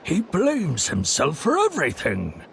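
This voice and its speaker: A man's voice: on the high side, wildly swooping pitch, unhurried, vocal fry, a little hiss or hum, exaggerated voice. An actor playing an earnest, concerned young man who tells a sad story in a somber, slightly hesitant way in a video game. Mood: somber, explanatory. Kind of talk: scripted game dialogue, storytelling.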